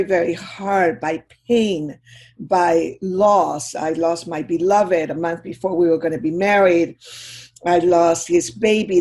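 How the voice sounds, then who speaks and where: A woman's voice: lowish voice, darkish tone. An older woman from Chile, a guest speaker giving a heartfelt, reflective talk on a video call.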